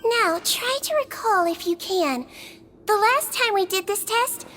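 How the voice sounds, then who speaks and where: Game casting: a little head nurse, very high, soft and patient, clinical tone. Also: female voice, lively intonation, measured pace, slight background noise, heavily acted. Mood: calm. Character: small gentle female head nurse. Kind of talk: video game dialogue.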